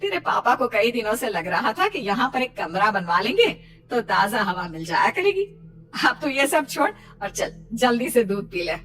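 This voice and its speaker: A woman playing a concerned, mildly scolding mother in a dramatized story, coaxing and admonishing her grown daughter in a husky voice. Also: a little high, sing-song, moderate pace, fairly bright tone, a little hiss or hum. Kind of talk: dramatized audio story dialogue. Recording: slightly distant microphone.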